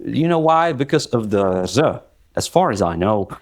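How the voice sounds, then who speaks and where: A man's voice: lowish voice, animated, moderate pace. A man hosting a casual chat about languages, thinking aloud and hesitating with fillers. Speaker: host.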